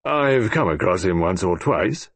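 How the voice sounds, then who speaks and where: A sleepy, gloomy old colonel with a wheezing, drawn-out way of speaking, as a man puts him on. He sounds heavy and unhurried, in a dry studio read for an audiobook. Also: low voice, lively intonation, husky, no room sound, heavily acted. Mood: drawling. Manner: slow, drawling, wheezy. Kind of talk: audiobook character voice.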